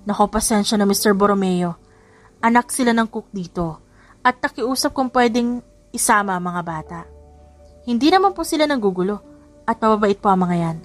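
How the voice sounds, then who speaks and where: A woman's voice: mid-range voice, lively intonation, normal speed, pauses often, close to an everyday voice. A nervous college dean played by a woman narrator in an audiobook. The manner is apologetic and placating, and music plays behind the words.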